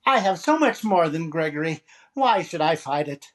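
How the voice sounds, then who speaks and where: A man's voice: fairly high pitch, animated, normal speed, fairly bright tone. A man playing a vain, self-pitying comedian who wisecracks in a plaintive, wry way, in 1940s radio comedy style. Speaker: actor in a radio comedy recreation.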